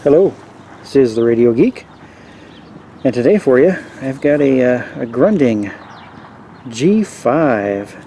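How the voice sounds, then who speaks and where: A man hosting a casual, unscripted hobby review, explaining features in a halting, easygoing way. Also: mid-range voice, lively intonation, warm tone, slight background noise. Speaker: radio hobbyist host reviewing gear. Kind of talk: casual unscripted product walkthrough.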